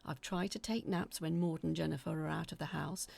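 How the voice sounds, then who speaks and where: A woman acting as an anxious narrator, telling a scripted first-person horror story in a confiding, uneasy, dramatic way with a husky, creaky voice. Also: sits low, steady tone, brisk, a little mellow, no echo, heavily acted. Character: anxious woman reading out her frightened letters about sinister events.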